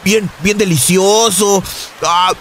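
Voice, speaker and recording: A man's voice: sits high, steady tone, slow pace, background noise. A man playing a needy, stammering, childlike obsessive fan with a Mexican accent in a comedic radio sketch. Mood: fawning. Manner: needy, stammering, repeats himself. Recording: music bed under the voice.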